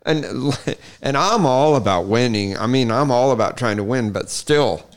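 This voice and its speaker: A man's voice: medium pitch, lively intonation, measured pace. A man co-hosting a horse podcast, asking long, rambling questions and laughing often. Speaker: podcast co-host. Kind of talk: casual interview chat.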